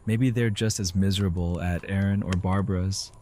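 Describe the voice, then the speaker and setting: An actor playing an exasperated, protective survivor with a blunt delivery in an audio drama. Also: male voice, deep voice, fairly even intonation, normal speed, warm tone, a little hiss or hum, lightly acted.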